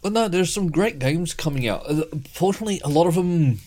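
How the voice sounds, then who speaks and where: A man's voice: a little high, lively intonation, a little fast, a little mellow, soft background music. A middle-aged man with a Western Sydney Australian accent, chatting easily as a podcast co-host and hedging his words with "sort of". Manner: easygoing, hedges with "sort of". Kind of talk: casual chat.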